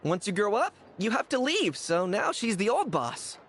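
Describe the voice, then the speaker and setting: A woman playing a cheeky, warm-hearted youngster from a street gang in a video game. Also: mid-range voice, sing-song, moderate pace, slight background noise. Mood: admiring. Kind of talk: video game character dialogue.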